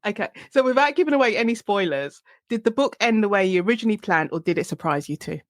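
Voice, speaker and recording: A woman hosting a podcast interview, chatty and quick to laugh as she asks questions. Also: mid-range voice, animated. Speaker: podcast host. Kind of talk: casual interview chat.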